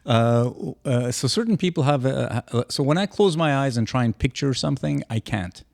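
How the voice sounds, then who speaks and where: A man's voice: mid-range voice, animated, moderate pace, gravelly, a little mellow, no room sound, clean studio sound. Easygoing retired man, rambling chat. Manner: rambling, many restarts, easygoing. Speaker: podcast co-host. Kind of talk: casual chat.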